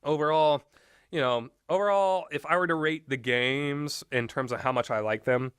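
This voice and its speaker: A man's voice: fairly high pitch, moderate pace, fairly bright tone, smooth, no room sound, clean studio sound. A man giving casual, rambling and opinionated commentary on video games, often hesitating as he talks. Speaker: video game reviewer.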